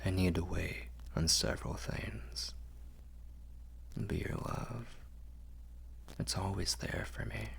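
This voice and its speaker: Casting: male actor as refined, protective vampire lord for ASMR roleplay; very low, breathy, courtly and soothing. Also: a bit bright, full character voice. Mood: fond, teasing. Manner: slow, soothing, formal and courtly phrasing. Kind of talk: ASMR roleplay, intimate whispering and murmuring.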